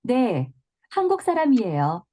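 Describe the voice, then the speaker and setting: A woman with a fairly high, mellow voice reads her lines of a scripted practice dialogue. She speaks slowly and carefully, with lively movement in her pitch. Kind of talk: scripted dialogue for a listening exercise.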